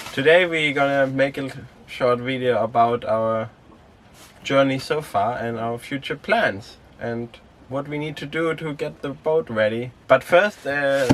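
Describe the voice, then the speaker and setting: Relaxed young man, hesitant vlog talk. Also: medium pitch. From Greece. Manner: relaxed, hesitant with many fillers and restarts. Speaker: sailing vlog host. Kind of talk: casual vlog narration.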